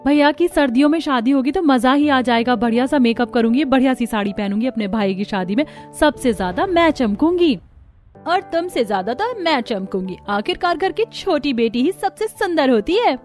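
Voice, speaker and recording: A woman's voice: high voice, animated, normal speed, darkish tone, faint music behind. A woman voicing chatty, opinionated women of an Indian household in a cartoon family story. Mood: excited, boastful.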